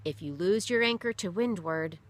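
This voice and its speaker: A woman's voice: lowish voice, expressive, moderate pace, natural voice. A woman voicing a weary father, once a ship captain, who explains things firmly in a read-aloud story. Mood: earnest. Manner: explaining, firm.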